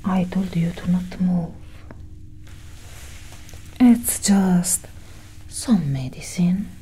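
A woman playing a curious, coolly possessive female alien doctor in a soft, whispered ASMR roleplay, breathy and close to the microphone. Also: fairly low pitch, animated, faint music behind. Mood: calm, clinical. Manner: slow, deliberate, hushed.

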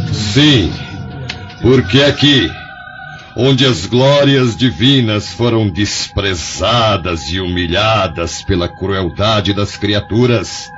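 Man acting a slow, deep, solemn apostle. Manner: oratorical, solemn. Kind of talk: radio drama sermon. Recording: muffled lo-fi audio under music.

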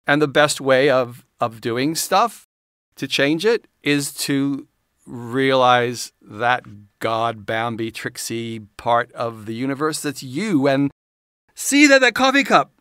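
A man reading his own self-help audiobook in an expressive, irreverent, emphatic, sweary way. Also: fairly high pitch, clear, resonant tone, dry recording. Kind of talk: expressive audiobook narration with candid, rambling asides. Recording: studio audiobook recording with music behind. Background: music.

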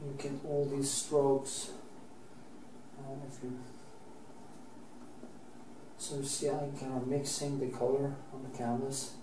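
A Norwegian man in his forties with a Norwegian accent, teaching oil painting in a loose, halting way as he works, stopping and restarting his sentences and swearing now and then. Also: mid-range voice, flat delivery, roomy sound, recorded from a distance, background noise. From Norway. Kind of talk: casual painting demonstration. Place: art studio, indoors.

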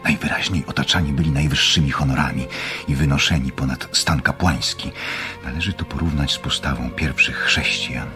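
An actor playing a scholarly, secretive doctor obsessed with power in a radio drama, speaking in a measured, clinical way. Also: male voice, very deep voice, fairly even intonation, over music. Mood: absorbed. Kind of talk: Polish radio drama acting.

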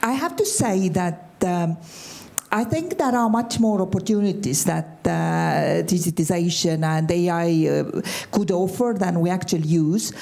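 An older woman from Estonia with an Estonian accent, speaking as a conference panelist into a handheld mic, pausing often with fillers. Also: lowish voice, vocal fry, dark tone. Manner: hesitant, many filler sounds. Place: large conference hall stage. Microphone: handheld microphone.

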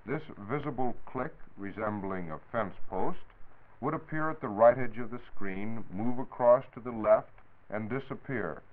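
A man's voice: medium pitch, moderate pace. An American man giving a formal, precise scripted explanation as a 1940s radio science reporter. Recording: tinny, phone-like 1940s radio recording.

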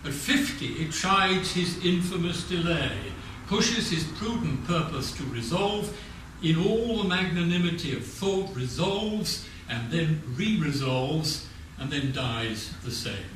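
Slow, echoey older Englishman reciting verse. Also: fairly high pitch, animated. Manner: measured, declamatory. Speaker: reader of poetry at a talk. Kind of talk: formal poetry recitation. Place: community meeting room with audience. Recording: very echoey room, distant mic, faint noise.

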